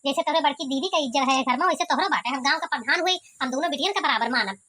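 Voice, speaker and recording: A woman's voice: high-pitched, very fast, sharp tone. A woman voicing a self-important, scheming village headman and father in a cartoon, with an Awadhi accent. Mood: indignant, self-important. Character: bossy, scheming village headman, older father of two daughters. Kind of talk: cartoon comedy voice acting.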